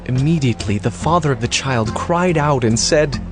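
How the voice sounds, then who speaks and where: A man's voice: mid-range voice, moderate pace, faint music behind. A man narrating scripture from a script as the storyteller of a dramatized audio reading.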